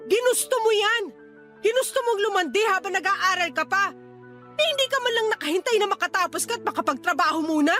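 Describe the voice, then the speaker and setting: High-pitched, scolding Filipino older sister. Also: female voice, expressive, fairly quick, pushed and bright, exaggerated voice. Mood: furious scolding. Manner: stern, interrogating, scolding. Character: angry, scolding older sister who supports her siblings. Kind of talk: Tagalog radio drama acting. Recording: faint music behind the voice.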